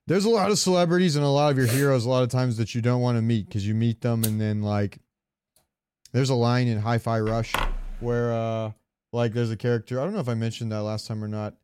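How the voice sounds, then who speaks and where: A man's voice: medium pitch, steady tone, brisk, darkish tone, slight background noise. A man co-hosting a casual gaming podcast, talking in a rambling way with meandering asides. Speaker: podcast co-host.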